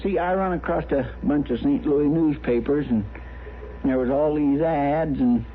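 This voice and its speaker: A man's voice: fairly high pitch, a little slow, close to an everyday voice. A man playing a sheepish, folksy deputy in an old radio western, with a rural American accent and rambling, hesitant delivery. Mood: sheepish confession. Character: sheepish, lovesick, folksy deputy of a frontier marshal. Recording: tinny, faintly noisy old radio broadcast.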